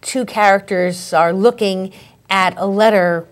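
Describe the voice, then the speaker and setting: A woman's voice: medium pitch, fairly even intonation, measured pace. A woman who is an author and handwriting expert, guest on an interview show, explaining in a precise, articulate way. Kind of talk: interview conversation.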